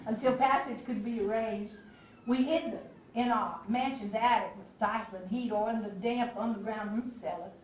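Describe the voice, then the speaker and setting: Echoey far-off stage recording, actress doing a high voiced southern civil war lady, fervent abolitionist, very theatrical monologue w dramatic pauses. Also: a bit bright. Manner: theatrical, declamatory, with dramatic pauses and rhetorical questions. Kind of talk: stage play monologue addressed to the audience. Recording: echoey stage recording from afar, faint noise.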